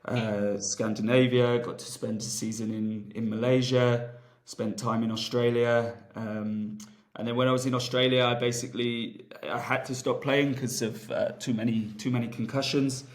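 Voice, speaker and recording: Male coach, 30s, level even delivery, loose and chatty. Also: mid-range voice, normal speed. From Wales. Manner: relaxed, many fillers and restarts, thinks aloud. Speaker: rugby coach, guest. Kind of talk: casual interview, long rambling answers.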